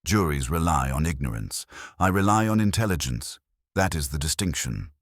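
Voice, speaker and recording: A man's voice: rumbling low, animated, normal speed, dry recording, clean studio sound. A man voicing an imperious, pedantic master detective with pompous, formal and precise speech in an audiobook. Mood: stern, commanding.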